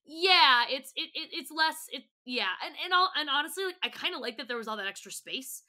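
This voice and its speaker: A woman's voice: high-pitched, expressive, fairly quick, sharp tone. A female podcast guest in casual chat, talking in a chatty, bubbly, rambling way.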